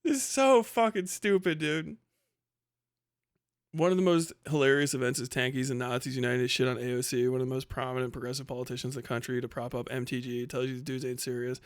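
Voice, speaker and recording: A man's voice: mid-range voice, fairly even intonation, brisk, smooth. A man hosting a casual, unscripted livestream commentary, rambling along in slangy, profanity-laced talk. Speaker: livestream host.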